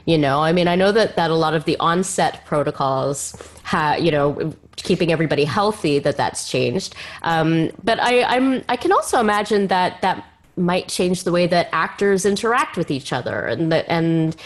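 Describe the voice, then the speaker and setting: A woman's voice: lowish voice, expressive, moderate pace. A woman hosting a conversational interview, circling tentatively toward her questions. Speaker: podcast host.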